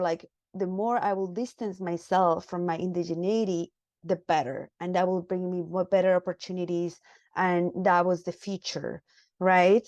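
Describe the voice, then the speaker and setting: A woman from Peru, chatting as an interview guest, lively and full of fillers and restarts. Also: medium pitch, no echo, muffled recording. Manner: animated, many fillers and restarts. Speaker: guest filmmaker. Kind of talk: casual interview chat.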